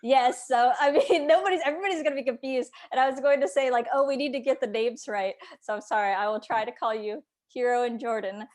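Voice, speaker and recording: A woman's voice: high voice, quick pace, hardly any pauses, darkish tone. A woman interviewer hosting a casual conversation about books over a video call. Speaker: interviewer and publishing host.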